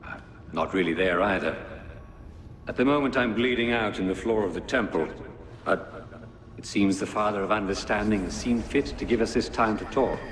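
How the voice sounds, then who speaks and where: An actor playing a zealous visionary grandmaster in a game, measured, mocking and grandiose. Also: male voice, fairly low pitch, lightly acted. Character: zealous visionary male grandmaster, calm philosophical villain. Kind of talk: dramatic video game cutscene acting. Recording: reverberant hall with heavy ambient noise.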